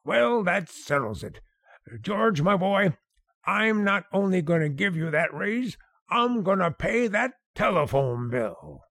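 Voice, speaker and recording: Actor as a husky, high-pitched, blustery older boss. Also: male voice, sing-song, takes it slowly, fairly bright tone, no echo, studio quality, full character voice. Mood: astonished, hearty. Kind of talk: old-time radio sitcom acting.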